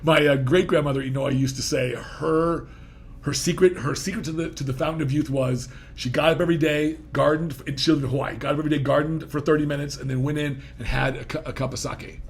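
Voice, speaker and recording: A man's voice: on the high side, steady tone, fast. A man in his fifties hosting a podcast, talking in a rambling, self-interrupting way and laughing easily. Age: 50s. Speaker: podcast host. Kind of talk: casual interview talk.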